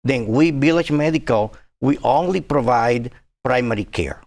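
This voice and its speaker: A man in his sixties from Cuba, speaking as a physician guest in a TV interview, earnest and halting with many fillers. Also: mid-range voice, a little mellow.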